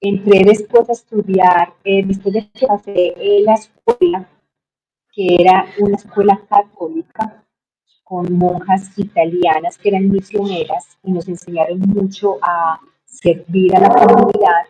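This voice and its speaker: Reflective woman with a husky voice. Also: medium pitch, steady tone, mellow, lo-fi sound. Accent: Colombian Spanish. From Colombia. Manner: reflective, unhurried, many hesitations. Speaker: guest. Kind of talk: personal life story in an interview.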